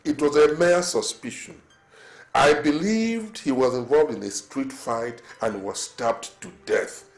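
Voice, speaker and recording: A man's voice: mid-range voice, unhurried, close to an everyday voice. A man acting a husband who defends himself against accusations, indignant and defensive. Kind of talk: melodramatic film acting.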